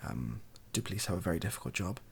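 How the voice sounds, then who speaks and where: A British man speaking softly in a rambling, hesitant, improvised opinion monologue for an online video. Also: deep voice, sing-song, fast talker, vocal fry, slight background noise. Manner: soft-spoken, hesitant and rambling.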